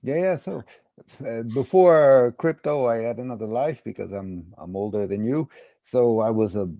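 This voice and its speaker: A middle-aged man from the United States with a gravelly, husky voice, explaining casually as an interview guest and often rephrasing himself. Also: medium pitch, normal speed, warm tone, dry recording. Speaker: podcast guest, crypto educator and former bond trader.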